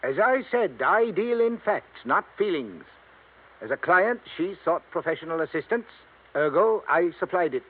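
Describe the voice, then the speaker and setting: A man's voice: high-pitched, animated, normal speed, phone-quality sound. A man playing a guarded, formal senior attorney in an old radio drama, precise and a little pompous. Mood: pompous, evasive. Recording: thin, band-limited vintage radio recording.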